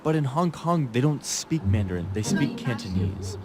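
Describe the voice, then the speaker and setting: A man narrating a documentary in a measured, explanatory way. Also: medium pitch, very animated, crowd noise. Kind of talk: scripted narration.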